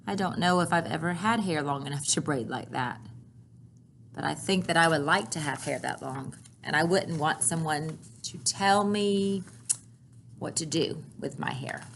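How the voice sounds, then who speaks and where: A woman's voice: sits low, normal speed, vocal fry, fairly bright tone. Woman reading aloud over background noise. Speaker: teacher reading a picture book aloud. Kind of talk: read-aloud storytelling with brief asides.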